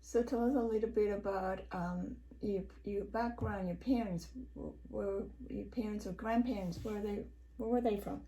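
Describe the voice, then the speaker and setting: A woman's voice: mid-range voice, steady tone, moderate pace, few pauses, warm tone, poor audio. A woman in her sixties interviewing her husband for the family, hesitant, with many ums. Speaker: interviewer, vlogger. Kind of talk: casual home interview.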